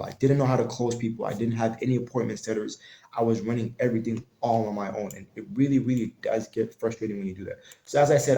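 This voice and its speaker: Man, marketing coach type, talks loose and mellow, plenty of restarts, roomy echo on the track. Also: lowish voice. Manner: loose and conversational, frequent restarts and fillers. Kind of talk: casual tutorial talk. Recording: echoey room.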